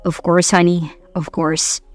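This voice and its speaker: Woman as a smooth tipsy billionaire playboy. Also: on the low side, flat delivery, slow pace, no room sound, soft background music, pitched below the performer's own voice. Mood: smooth, flirty. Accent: Filipino.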